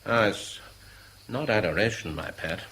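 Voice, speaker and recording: An actor playing a teasing, worldly husband and jovial dinner host in a 1970s British radio drama, with a droll, sardonic manner. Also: male voice, lowish voice, unhurried, creaky, bright, forceful tone, heavily acted. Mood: sardonic amusement. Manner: droll, sardonic, drawling out words for effect. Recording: noisy old radio drama recording.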